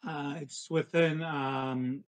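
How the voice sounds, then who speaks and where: A man's voice: on the high side, steady tone, extremely slow. A man talking casually as a player in a tabletop roleplaying game.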